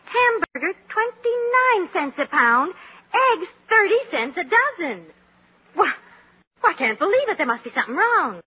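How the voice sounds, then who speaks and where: An actress playing an anxious, practical middle-aged wife and mother in a 1940s radio sitcom, pleading and expressive. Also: very high voice, measured pace, heavily acted. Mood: amazed. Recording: muffled 1940s radio, audience behind.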